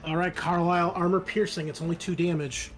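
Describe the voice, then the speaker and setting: A man's voice: high-pitched, little pitch movement, a little fast, fairly bright tone, faint music behind. A man narrating a tabletop horror game as game master, casually mixing vivid description with rules talk and some swearing.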